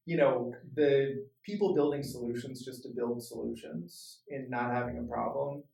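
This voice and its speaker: Gravelly man host, off-mic. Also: mid-range voice, normal speed, darkish tone, distant mic. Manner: affirming, lots of "yeah". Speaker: podcast co-host. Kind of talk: casual interview talk. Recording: roomy echo, off-mic.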